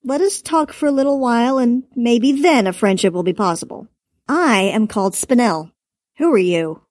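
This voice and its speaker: A woman reading as a small, wise dragon who speaks in a light, airy voice that still commands. His tone is stern and measured with a faint teasing edge. The voice sits a little high and sounds dry and close. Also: animated, a little mellow, dry recording, close to an everyday voice. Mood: calm. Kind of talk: audiobook character voice.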